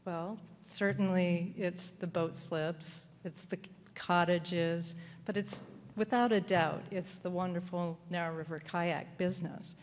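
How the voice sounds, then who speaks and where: Woman public commenter, slow and hesitant, lowish voice, echoey hall with faint noise. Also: fairly even intonation. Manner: hesitant, loses her train of thought. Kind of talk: public comment at town meeting. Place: town council meeting hall.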